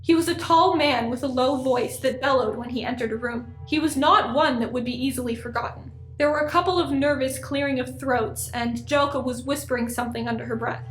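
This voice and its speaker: A woman's voice: high-pitched, brisk, hardly any pauses. A woman reading scripted story narration as the narrator of a radio play. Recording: echoey room, faint music.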